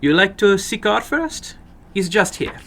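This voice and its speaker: A man voicing an eager, animated little foreign gambler in halting, broken English for a dramatic horror story reading. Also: sits high, vocal fry, voice pushed up, exaggerated voice. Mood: excited, inviting.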